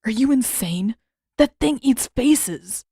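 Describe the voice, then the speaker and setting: A woman's voice: on the high side, lively intonation, slow, mellow, breathy edge, no echo, voice pushed up, exaggerated voice. A woman voicing a protective, blunt teenage boy, a prince in a fantasy audiobook, with an urgent, dry manner.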